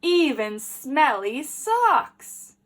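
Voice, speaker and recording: A woman teacher reading a picture book aloud to children in an animated, deliberate way, pausing to ask questions. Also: high-pitched.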